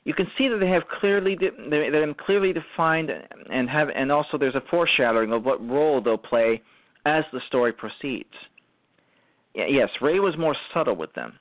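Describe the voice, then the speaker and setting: A man hosting a radio show, speaking in a halting way that often restarts and repeats phrases as he gives commentary. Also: fairly high pitch, no echo. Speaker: radio show host and writer-performer. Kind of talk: show commentary, semi-prepared talk. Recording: muffled lo-fi audio.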